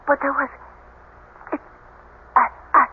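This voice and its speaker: An actress playing an anxious, easily frightened new bride in a radio drama, halting and pleading. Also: female voice, close to an everyday voice. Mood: shaken. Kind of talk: 1970s radio drama acting. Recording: tinny, noisy old radio recording.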